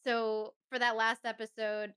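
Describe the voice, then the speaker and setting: A woman with a fairly high, bright voice chats as an interview guest. Her tone is clean and smooth, and her manner is informal, bubbly and a little self-effacing. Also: flat delivery, unhurried. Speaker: interview guest, voice performer and singer. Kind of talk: casual chat.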